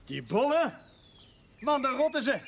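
An actor playing a blunt, practical gardening helper in a television period drama, talking in a protesting way. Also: male voice, very high-pitched, sing-song, measured pace, creaky, exaggerated voice. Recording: loud noise over TV drama soundtrack.

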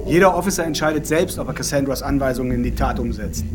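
A man's voice: mid-range voice, fast, background noise, lightly acted. A male actor playing a sceptical, defensive security officer in a radio drama, blunt and offhand in his replies.

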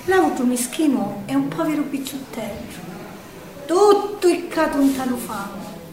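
High-voiced Sicilian woman declaiming a tragic tale. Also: lively intonation, measured pace, a little mellow. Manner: declamatory, lamenting. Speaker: storyteller in a theatre show. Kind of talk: dramatic theatrical storytelling in verse. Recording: echoey room, distant from the mic.

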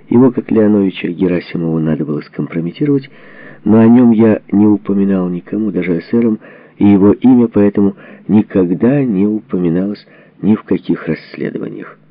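A man reading a historical book as a narrator, in a measured, formal delivery, working through letters and documents. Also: deep voice, no echo, dull audio. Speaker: audiobook narrator.

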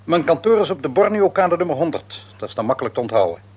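A husky, genial father. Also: a little high, very animated, fast talker. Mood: genial, businesslike. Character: genial father, businesslike middle-aged man of affairs. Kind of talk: Dutch radio play acting. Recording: muffled old radio recording.

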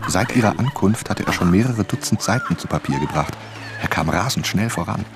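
A man's voice: low-pitched, animated, brisk, over music. A man playing an inquisitive writer who narrates and asks probing questions in a German radio drama. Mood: narrating. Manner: probing, attentive.

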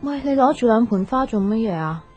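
A husky, pensive young woman. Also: a little high, lively intonation, fairly quick, darkish tone. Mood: light, friendly. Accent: Hong Kong Cantonese. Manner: reflective, hesitant, earnest. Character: pensive, soft-hearted young woman unsure in love. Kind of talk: radio drama acting, intimate conversational. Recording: dull radio recording, music underneath.

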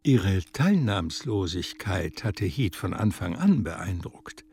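A man with a gravelly voice narrating a literary story for a radio drama in an expressive storytelling manner. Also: sits low, takes it slowly, background noise.

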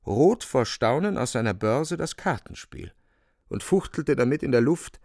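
A man's voice: medium pitch, sing-song, a little slow, darkish tone, dry recording, clean studio sound. A man narrating a literary radio drama in a dramatic storytelling style.

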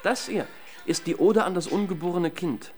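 Actor as a flippant, dry detective sidekick. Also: male voice, high-pitched, moderate pace, a little mellow, faint music behind, natural voice. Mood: smug, explaining. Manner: dry, wisecracking. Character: flippant, music-loving police detective sidekick, dryly pedantic. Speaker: actor in a radio crime drama. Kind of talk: German radio crime drama acting.

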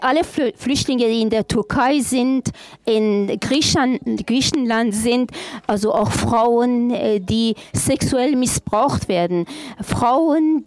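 A woman from Afghanistan addresses a panel audience in a slow, deliberate way, pressing her points with feeling. Her voice sits fairly high, and she keeps stopping and restarting mid-phrase. The sound is close and dry, with no room around it. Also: a little mellow, dry recording. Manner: impassioned, halting with many restarts. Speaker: panel speaker. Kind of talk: public panel statement.

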